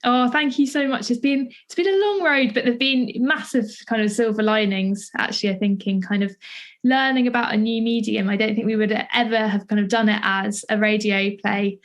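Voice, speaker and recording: A woman's voice: fairly high pitch, a little fast. A woman speaking as a podcast host and interviewer. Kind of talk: scripted introduction, then conversational interview.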